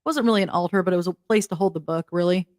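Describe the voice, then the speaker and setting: A woman hosting a casual interview, enthusiastic and quick to laugh. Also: fairly low pitch, darkish tone, no room sound, clean studio sound. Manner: enthusiastic, giggly. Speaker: host.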